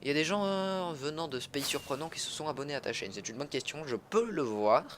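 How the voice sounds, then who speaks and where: Chatty young streamer over music. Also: male voice, fairly high pitch, animated, fast, a bit bright. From Alsace, France. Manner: chatty, self-interrupting, greets chat viewers. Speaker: livestreamer. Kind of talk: casual gameplay livestream commentary. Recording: music playing behind the voice.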